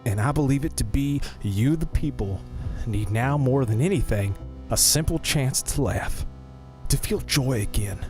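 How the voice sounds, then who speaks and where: A man's voice: medium pitch, animated, measured pace, a little mellow, soft background music, close to an everyday voice. A man playing an effusive, folksy period showman in an audio drama, with florid, salty salesman patter. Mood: persuasive. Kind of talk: audio drama monologue, 1890s period setting.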